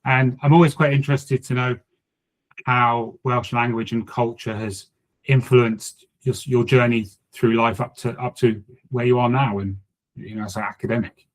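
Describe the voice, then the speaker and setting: A man's voice: mid-range voice, little pitch movement, normal speed, low-quality recording. A man hosting a podcast, asking long, tentative questions. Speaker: podcast host. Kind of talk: podcast interview.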